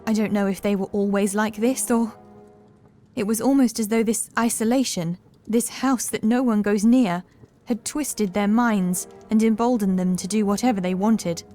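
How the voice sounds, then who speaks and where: A woman playing an anxious, dreamy housemaid in a horror audiobook, confiding softly and hesitantly. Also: medium pitch, normal speed, faint music behind, close to an everyday voice. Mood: haunted, fearful. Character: fragile young housemaid haunted by cruel masters, dreamy and anxious.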